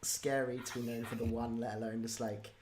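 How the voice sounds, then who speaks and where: A man's voice: medium pitch, flat delivery, fairly quick, a crowd in the background. A man hosting a casual interview, talking in an informal, rambling and enthusiastic way. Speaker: interview host.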